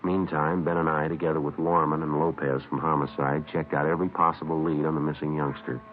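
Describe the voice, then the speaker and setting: A very low-voiced police detective in a vintage radio drama. He speaks in clipped, deadpan, official phrases. The sound is tinny and narrow with faint noise. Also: a little fast. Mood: flat, official. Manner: clipped, matter-of-fact, deadpan. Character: terse, businesslike male police sergeant and narrator. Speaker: lead detective and narrator. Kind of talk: 1950s radio drama acting, clipped procedural narration. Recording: tinny, faintly noisy old radio recording.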